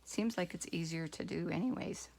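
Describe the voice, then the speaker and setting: Croaky-voiced woman demonstrating card making. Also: on the low side, slight background noise. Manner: relaxed, rambling, thinks aloud with pauses. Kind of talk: casual craft tutorial.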